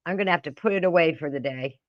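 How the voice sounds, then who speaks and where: A woman's voice: low-pitched, very fast, muffled recording. A middle-aged woman giving casual, profane reaction commentary in a blunt, indignant, scolding manner. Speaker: reaction video host.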